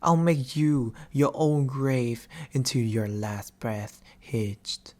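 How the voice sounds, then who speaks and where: Sleep paralysis demon for ASMR roleplay, played by a man: mid-pitched, unhurried, breathy and half-whispered, teasing and intimate. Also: a little mellow, lightly acted. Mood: menacing. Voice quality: breathy, often dropping into whisper. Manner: slow, deliberate, theatrical, intimate. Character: soft-spoken male sleep paralysis demon, teasing storyteller. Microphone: close binaural microphone.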